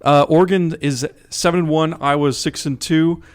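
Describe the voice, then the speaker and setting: A man's voice: a little high, fairly even intonation, moderate pace. Man co-hosting sports radio picks. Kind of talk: casual sports picks discussion.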